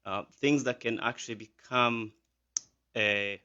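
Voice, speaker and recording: A man's voice: medium pitch, fairly even intonation, measured pace, sharp tone, smooth, lo-fi sound. A man in his thirties from Rwanda with a Rwandan accent, a physician answering radio interview questions with many fillers and restarts. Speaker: physician guest.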